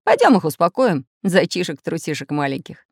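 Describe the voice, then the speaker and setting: A woman's voice: fairly low pitch, wildly swooping pitch, moderate pace, creaky, dry recording, studio quality, exaggerated voice. Woman as an emphatic, indignant little iron man. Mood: brisk. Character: indignant, brave little male iron handyman robot.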